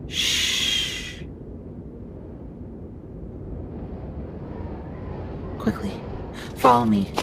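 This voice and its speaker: Woman playing a gravelly, skittish friendly wendigo. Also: medium pitch, full character voice. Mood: anxious, urgent. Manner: hesitant, halting, hushing. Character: friendly, skittish female wendigo, protective forest monster. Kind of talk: ASMR roleplay acting. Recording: clearly noisy background.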